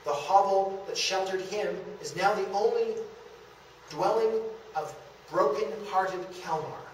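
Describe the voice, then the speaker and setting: A man's voice: high voice, measured pace. A man reading the part of a broken-hearted, ruined miller in a period stage melodrama, in a declamatory theatrical manner. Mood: bitter lament. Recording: echoey room, distant camera, background hiss.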